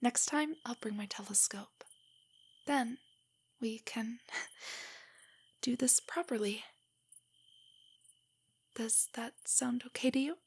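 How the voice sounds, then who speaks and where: Breathy, higher-voiced nerdy girlfriend played by a woman. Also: slow, long pauses, full character voice. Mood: tender. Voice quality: breathy, often near a whisper. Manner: rambling, giggly, hesitant, explaining trivia. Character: nervous, nerdy young girlfriend, affectionate and eager stargazer. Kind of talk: roleplay audio, intimate soft monologue to a listener.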